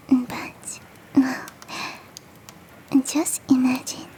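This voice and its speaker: Casting: woman to play a gentle, doting yeti hostess for ASMR roleplay, high-pitched but mellow, whispering affectionately over faint noise. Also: poor audio. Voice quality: soft, breathy whispering. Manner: gentle, coaxing, affectionate, self-deprecating. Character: big, sweet, doting female yeti hostess.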